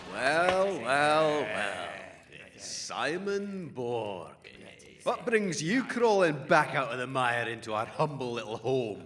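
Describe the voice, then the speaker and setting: A menacing wasteland gang boss, bright forceful voice thats all over the place, thinks hes a comedian, audio comedy. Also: male voice, medium pitch, animated, slow pace. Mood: mock-friendly menace. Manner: bullying, showboating, flips from jokey to threatening. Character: swaggering, menacing male wasteland raider chief and wannabe comedian. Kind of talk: comic audio drama acting.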